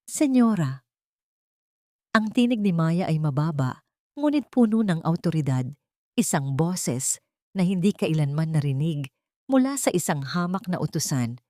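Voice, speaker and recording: Low-voiced woman narrating drama. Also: mellow, dry recording, clean studio sound. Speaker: story narrator. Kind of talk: dramatic scripted storytelling narration.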